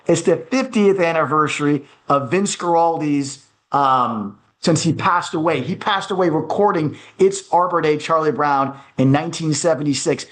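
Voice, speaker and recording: An American man speaks as a guest in a conversational interview. His voice sits a little higher than most men's. He tends to think aloud, halting and picking his way through each answer. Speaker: guest, music producer.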